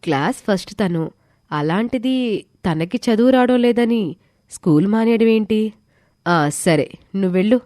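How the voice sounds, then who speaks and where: A woman plays a caring female schoolteacher in an animated moral tale. She sounds supportive and concerned, slightly raised in pitch, on a dry recording. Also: measured pace, pauses often, dry recording, natural voice. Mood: puzzled concern. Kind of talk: animated moral story character voice.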